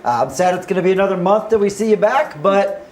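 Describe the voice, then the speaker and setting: A man's voice: sits high, expressive, fast talker, a little mellow. A man anchoring a live local news show, bantering with colleagues and moving on to the next story.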